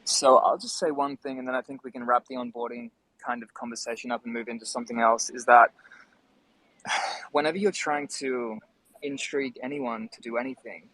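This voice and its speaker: A man's voice: mid-range voice, fairly even intonation, a little fast, bright, forceful tone, rough recording. A man in a casual discussion, explaining his thoughts in a reflective way. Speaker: panelist in an online music discussion. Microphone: phone.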